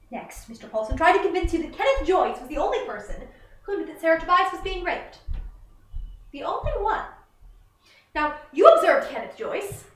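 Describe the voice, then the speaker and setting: A young woman playing an impassioned, indignant prosecutor who addresses a jury deliberately and rhetorically in a dramatic monologue. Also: high voice, a bit bright, full character voice. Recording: roomy, echoing, far from the mic.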